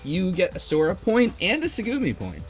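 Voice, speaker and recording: A man co-hosting a podcast, talking casually and haltingly as he works through his thoughts. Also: sits high, huge pitch swings, soft background music. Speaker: podcast co-host. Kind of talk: casual discussion.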